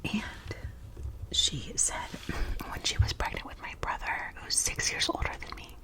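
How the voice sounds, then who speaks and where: A young woman whispering a chatty, rambling makeup tutorial into a close mic, drifting into tangents and softly repeating words. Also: measured pace. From United States. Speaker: ASMR makeup vlogger.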